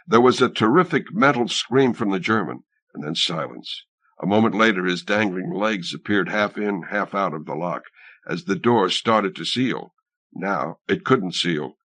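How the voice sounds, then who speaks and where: Guy reading a dramatic audiobook story, fairly deep voice, no room echo. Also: animated, normal speed, fairly bright tone, breathy edge. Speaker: audiobook narrator. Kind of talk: dramatic scripted fiction narration.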